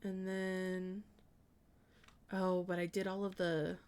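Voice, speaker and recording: A woman's voice: lowish voice, flat delivery, slow pace, a little mellow, clean tone. A woman streamer chatting casually with viewers as she plans out a house, thinking aloud in a hesitant, meandering way with many trailing restarts. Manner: chatty and friendly when greeting and answering viewers. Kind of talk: casual live-stream chat while building in a life-sim game.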